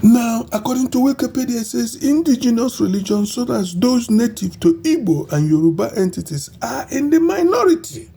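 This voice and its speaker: A middle-aged Nigerian man, a bishop, preaching and praying fervently in a recorded audio message with emphatic, drawn-out phrases. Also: very high voice, lively intonation, gravelly, warm tone, a little hiss or hum.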